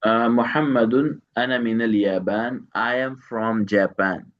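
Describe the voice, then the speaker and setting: A man teaching Arabic one to one, repeating each phrase slowly, translating word by word and quizzing his student with short questions. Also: mid-range voice, dull audio. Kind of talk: one-to-one language lesson.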